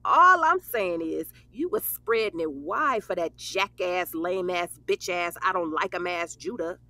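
An actress playing a brash, bawdy but loyal young best friend, blunt and teasing, in an audio drama. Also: female voice, lowish voice, expressive, unhurried, bright, forceful tone, no room sound, exaggerated voice. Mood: teasing, pushy. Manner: sassy, blunt, foul-mouthed, teasing. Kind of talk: casual conversational audio drama.

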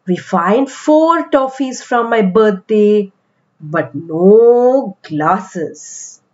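A woman with an Indian accent reading aloud to young children as a storytelling host, with deliberate, expressive phrasing. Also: mid-range voice, highs cut off. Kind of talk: animated picture-book read-aloud for kids.

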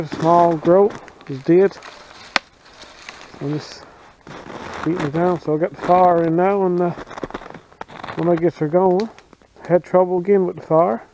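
A man's voice: a little high, noisy background. Folksy man in snowy woods. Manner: plain, unhurried, folksy. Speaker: bushcraft vlogger. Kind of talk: casual outdoor narration. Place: snowy forest outdoors.

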